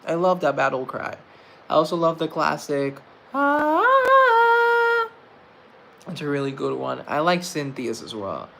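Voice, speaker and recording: Slow, chatty young streamer guy. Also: a little high, sing-song, vocal fry, fairly bright tone, a little hiss or hum. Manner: chatty, self-mocking, answers comments aloud. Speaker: livestreamer reading chat. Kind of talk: casual live chat.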